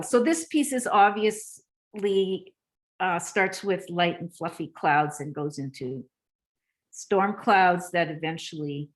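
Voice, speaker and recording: Reflective woman artist, lowish voice. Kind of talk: talking about her exhibition on a video call.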